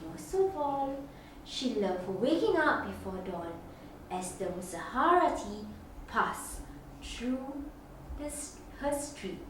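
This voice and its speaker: Lady reading a picture book to kids, really slow and expressive, bit far from the mic with some noise. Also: sits high. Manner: slow, deliberate, animated phrasing with sing-song lines. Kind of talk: expressive children's picture-book read-aloud. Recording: very echoey room, distant mic, background noise.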